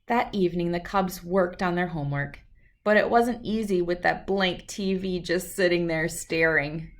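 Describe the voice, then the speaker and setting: A woman reading a children's storybook aloud in an expressive narrating style. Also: fairly low pitch. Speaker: storybook reader.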